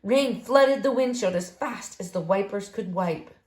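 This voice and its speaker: A woman reading a children's story aloud in an expressive, deliberate, dramatic way. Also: mid-range voice. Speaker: storybook reader. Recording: roomy, echoing room sound.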